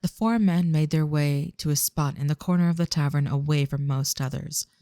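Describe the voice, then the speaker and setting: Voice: mid-range voice, normal speed, warm tone, no room sound, clean studio sound. A narrator reading scripted storytelling for an audio drama.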